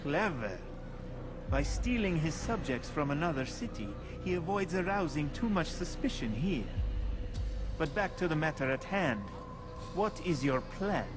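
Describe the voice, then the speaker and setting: A composed bureau chief in a video game cutscene. His voice sits a little high for a man, formal and measured with a gently superior air. Music runs underneath. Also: animated. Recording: music behind the voice.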